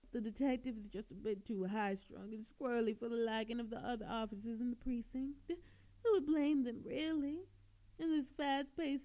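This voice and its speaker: A woman's voice: on the high side, dark tone. A woman playing a smug, flirtatious master thief in an audio roleplay, with a teasing, coy and mock-innocent manner. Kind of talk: scripted audio roleplay monologue.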